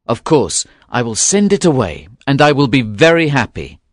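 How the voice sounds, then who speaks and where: A woman's voice: very deep voice, very animated, normal speed, no room sound, studio quality, pitched below the performer's own voice, full character voice. A woman voicing a young male fisherman in a storybook reading, speaking in an earnest, plain way. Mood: yearning. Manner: earnest, plainly spoken lines.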